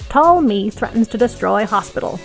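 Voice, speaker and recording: Woman playing a male rebel radio host, brash, excitable, theatrical, emphatic, audiobook monologue, faint music. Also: medium pitch, normal speed, creaky. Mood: boastful.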